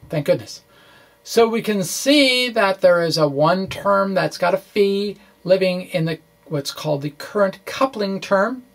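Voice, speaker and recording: A man presenting physics in an informal lecture style, with halting delivery, false starts and folksy, playful phrasing. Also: sits high. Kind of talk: informal lecture walkthrough of equations.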